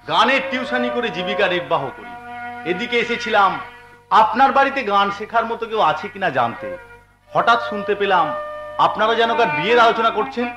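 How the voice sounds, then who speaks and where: Heartbroken music teacher in jatra play, really high plaintive voice, echoey w loud music. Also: male voice, expressive. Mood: bitter, sorrowful. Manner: theatrical, plaintive, drawn-out sung lament. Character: heartbroken wandering male music tutor, betrayed husband, bitter. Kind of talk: Bengali jatra folk theatre acting with song. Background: loud musical instruments.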